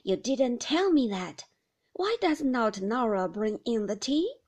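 A woman's voice: fairly high pitch, very animated, takes it slowly, darkish tone, exaggerated voice. A woman voicing a frail, querulous very old woman who grumbles at her carers. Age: older.

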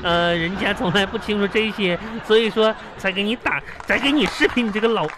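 A woman's voice: mid-range voice, a crowd in the background, noisy background, close to an everyday voice. A woman playing a melodramatic, affected young single woman who puts on refined, coy politeness in a live comedy radio drama. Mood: indignant, coy. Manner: pompous, coy, mock-polite, drawn-out exclamations. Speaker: radio host performing a character.

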